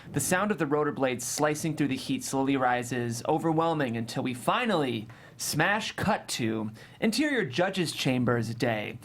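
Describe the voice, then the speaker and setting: Mid-pitched screenplay narrator. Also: a little hiss or hum. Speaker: stage-direction reader. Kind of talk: scripted narration at table read.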